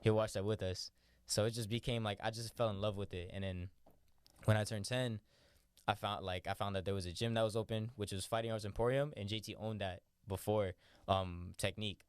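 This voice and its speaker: A young man, a boxer, chatting casually in an interview, relaxed and rambling with lots of "like" and "you know". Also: lowish voice, brisk, smooth, dry recording, studio quality. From born in New York, raised in Florida. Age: young adult. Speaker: professional boxer, interview guest.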